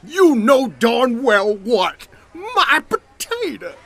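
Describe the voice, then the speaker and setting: A man playing an irate, accusing potato grower in a comic audio drama, gravelly and sarcastic, leaning hard on his words. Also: very high-pitched, very animated, a bit bright, full character voice. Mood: angry, accusing. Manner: indignant, sarcastic, drawn-out emphasis.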